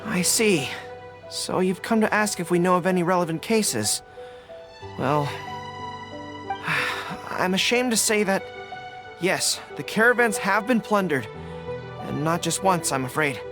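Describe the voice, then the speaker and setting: High-voiced, earnest young general. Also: male voice, animated, a little slow, pauses often. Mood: grave. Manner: formal, polite, commanding. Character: earnest, dutiful young male army general. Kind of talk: English video game voice acting. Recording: background music under the dialogue.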